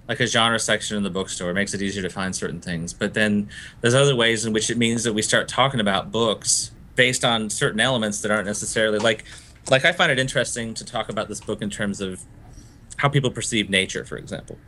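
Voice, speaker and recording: Male novelist guest from Florida, low but bright tone, discursive and thoughtful. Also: a little fast, a little hiss or hum. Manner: thoughtful and discursive, laughs easily. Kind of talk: interview conversation.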